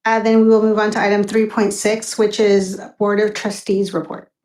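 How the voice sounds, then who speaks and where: A woman's voice: medium pitch, little pitch movement, moderate pace, a little mellow, roomy sound, rough recording. A woman chairing a school board meeting, calling on the next items and speakers in a polite, procedural way.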